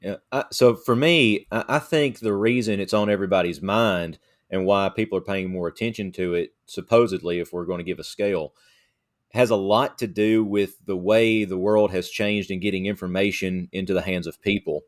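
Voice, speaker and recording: A man's voice: sits low, moderate pace. A man co-hosting a podcast discussion, speaking in an earnest, conversational way. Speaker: podcast co-host.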